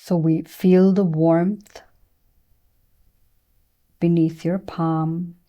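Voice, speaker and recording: A woman leads a guided meditation in a soft, very mellow tone that sits a little low. She speaks very slowly and gently, leaving room between phrases as she gives soothing instructions. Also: level tone. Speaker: meditation and yoga teacher.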